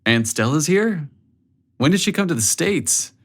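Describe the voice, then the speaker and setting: A man's voice: a little high, expressive, unhurried, slightly rough, pitched above the performer's own voice, exaggerated voice. A man as a wry young man. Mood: confused. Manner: sighing, wry.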